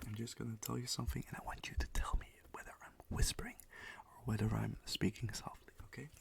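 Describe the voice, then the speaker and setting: A man whispering and speaking softly in a gentle ASMR roleplay as a music teacher, repeating words slowly and reassuring the listener. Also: fairly low pitch, flat delivery, gravelly.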